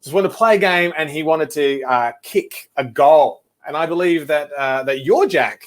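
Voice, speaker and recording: Higher-pitched Australian male host. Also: moderate pace. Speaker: host of a children's show. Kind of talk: casual interview chat.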